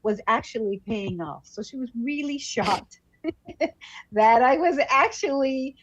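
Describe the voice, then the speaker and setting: A woman's voice: a little high, animated, unhurried, slight background noise. Chatty woman on a dull lo-fi call. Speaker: professional actor, guest. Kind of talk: casual livestream chat, personal anecdotes. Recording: dull lo-fi online call audio.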